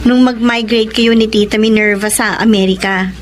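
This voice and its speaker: Woman playing high-voiced, gentle young Filipino wife. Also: steady tone, moderate pace, noisy background, close to an everyday voice. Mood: cheerful, friendly. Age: young adult. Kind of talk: Filipino radio drama acting. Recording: radio drama with music underneath.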